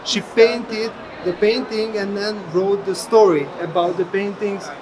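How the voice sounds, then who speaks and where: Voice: mid-range voice, fairly even intonation, measured pace. A gallerist explaining an artist's work informally, in a storytelling way. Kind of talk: informal spoken explanation at an art fair. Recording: noisy fair hall background. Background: ambient fair hall noise.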